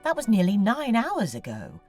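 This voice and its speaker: A woman's voice: a little high, wildly swooping pitch, normal speed, mellow, soft background music. An actress playing an anxious, needy, brooding woman novelist in an audio drama, articulate and confiding as she voices her letters. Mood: reproachful, eager.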